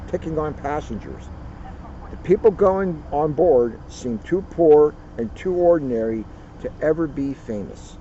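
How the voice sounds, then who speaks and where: Male read-aloud storyteller, mellow tone, dramatic deliberate phrasing, outdoor recording with faint vehicle noise. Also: on the high side, lo-fi sound. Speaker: police officer reading a children's book. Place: outdoors at a turkey farm.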